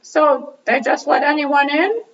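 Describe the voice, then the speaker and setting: A high-pitched, inquisitive puppet sidekick played by a woman chats in a puppet show. The character is excitable and exclamatory, heard a little way from the mic in a dull home recording. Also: measured pace, far from the mic. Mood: curious.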